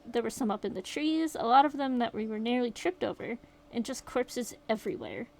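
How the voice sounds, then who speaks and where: A woman voicing a shaken young survivor, reading the account aloud in a steady, rueful way. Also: on the high side, brisk. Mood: grim retelling. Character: shaken young survivor recounting a horror night.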